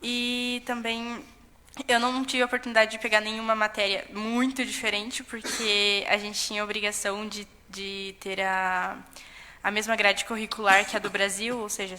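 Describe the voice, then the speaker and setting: A young woman with a Brazilian accent speaks in a very bright, forceful voice with rather even pitch. She recounts things informally and haltingly, in a hall with long reverb. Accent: Brazilian Portuguese. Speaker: exchange student giving a testimony. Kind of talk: informal spoken account at a public session. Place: council chamber.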